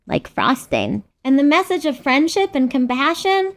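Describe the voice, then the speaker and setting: Chirpy auntie on a kids show, really high squeaky-sweet, all over the place. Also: female voice, huge pitch swings, normal speed, exaggerated voice. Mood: bubbly, sweet. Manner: chirpy, cheerful. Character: sweet, bubbly magical auntie on a children's show.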